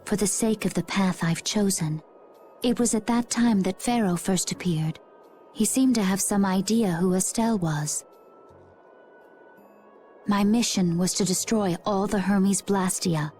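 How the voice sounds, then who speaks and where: Actress doing a calm mysterious warrior girl from an anime game, measured, middle voice, soft music under. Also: medium pitch, natural voice. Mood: solemn, resolute. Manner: measured, composed. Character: composed, enigmatic young woman warrior with quiet resolve.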